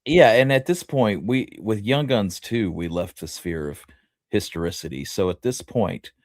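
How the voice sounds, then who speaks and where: Man, lower pitch, casual co-host, rambling storyteller, dry room. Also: animated, normal speed, dry recording. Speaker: co-host of a history talk show. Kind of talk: casual chat with anecdotes.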